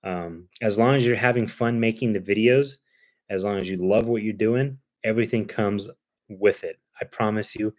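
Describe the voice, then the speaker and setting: A man's voice: fairly low pitch, fairly even intonation, normal speed. A man with a Cuban accent, hosting a livestream in easygoing chat, rambling with plenty of ums and uhs. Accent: Cuban Spanish. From Cuba. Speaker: livestream host. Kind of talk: casual chat.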